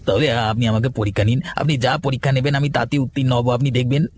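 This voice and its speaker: A man's voice: medium pitch, fairly even intonation, quick pace, a little mellow, breathy edge, close to an everyday voice. A man playing an eager, cowardly young disciple who stammers deferentially in a horror cartoon. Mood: eager, nervous. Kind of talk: cartoon horror drama acting.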